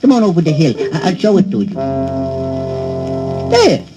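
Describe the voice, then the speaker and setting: A timid, bumbling astronaut with a deadpan, literal-minded way of talking. His high voice swings widely through every line. A vintage comedy record with music behind. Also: normal speed, rough recording. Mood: earnest. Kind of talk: 1960s comedy record acting.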